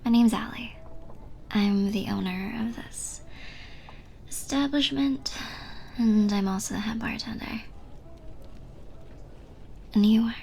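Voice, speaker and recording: A woman playing a flirty, teasing bartender in a soft, breathy ASMR roleplay, speaking close to the listener and often dropping to a near-whisper. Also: on the high side, slight background noise. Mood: teasing, friendly. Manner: playful, giggly, unhurried flirting.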